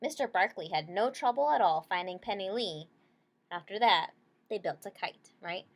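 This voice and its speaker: A woman's voice: mid-range voice, lively intonation, normal speed. Woman reading a storybook to children. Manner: checks in with listeners using short tag questions. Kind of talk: read-aloud with casual explanations to children.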